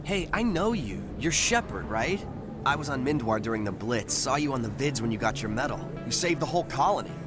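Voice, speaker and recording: A man's voice: medium pitch, big pitch swings, fairly quick, over music, lightly acted. An actor playing an eager, starstruck young policeman in a video game, chatty and deferential with boyish enthusiasm. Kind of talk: natural video game acting.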